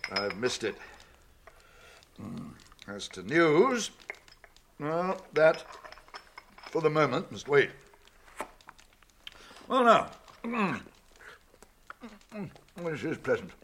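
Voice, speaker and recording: An older English man playing a gruff but kindly wizard in a radio drama, authoritative and teasing, with a dry wit. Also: on the high side, very animated, extremely slow, long pauses, fairly bright tone, slight background noise, heavily acted. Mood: relaxed, content.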